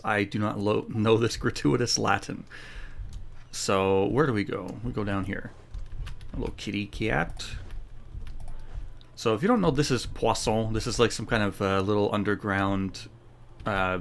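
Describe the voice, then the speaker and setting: A man's voice: fairly low pitch, creaky, slight background noise. A man giving casual, laid-back playthrough commentary on a game, rambling a little and sometimes narrating aloud. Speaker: gaming streamer.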